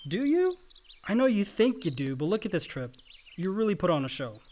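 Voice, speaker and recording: An actor playing a frank, hot-headed young younger brother in a naturalistic radio drama, casual and profane. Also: male voice, sits high, very animated, brisk, darkish tone. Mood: frank, probing.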